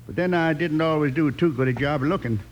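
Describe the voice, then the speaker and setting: Man playing a folksy old rancher, highish voice. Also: fast, lightly acted. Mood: self-deprecating. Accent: American. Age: older. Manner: folksy, rambling. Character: old, rheumatic, easygoing male rancher geezer. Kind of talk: 1950s radio western acting. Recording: old radio recording, faint noise.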